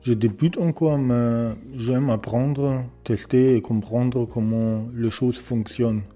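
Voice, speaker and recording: German man, non-native French with German accent, halting delivery, slow, low dark voice, home workshop setting. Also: fairly even intonation, soft background music. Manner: hesitant, searching for words with many fillers. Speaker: electronics repair beginner presenting his channel. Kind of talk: casual self-introduction and workshop tour.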